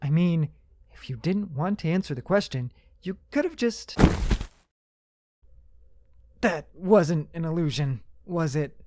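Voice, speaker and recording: A man's voice: a little high, animated, slow, pauses often, background noise. A man playing a polite, wry married mage on a quest in an audio drama. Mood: dry, wry.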